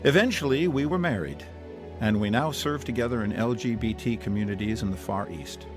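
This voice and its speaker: A man's voice: lowish voice, lively intonation, fairly quick, in a large hall, music in the background. A man narrating his testimony in an earnest, preaching manner, quoting scripture.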